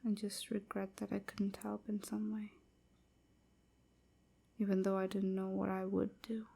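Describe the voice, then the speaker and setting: A sorrowful young vampire slave played by a woman confides in a soft, breathy near-whisper. The delivery is very slow and halting, with a dark, gentle tone and a slight crackle in the voice, suited to an intimate audio roleplay. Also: mid-range voice, completely flat delivery. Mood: regretful, hushed. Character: sorrowful young enslaved vampire woman recounting her past.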